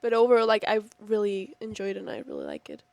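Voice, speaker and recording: A woman's voice: mid-range voice, huge pitch swings, quick pace, gravelly, darkish tone, dry recording. A teenage young woman from Spain, chatting casually in an interview. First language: Spanish. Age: teens. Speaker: exchange student being interviewed.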